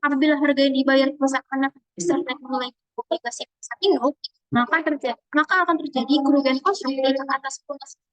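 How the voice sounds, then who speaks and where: A woman's voice: sits high, quick pace, no room sound, lo-fi sound. A young woman, a student presenting and explaining exercise answers. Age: young adult.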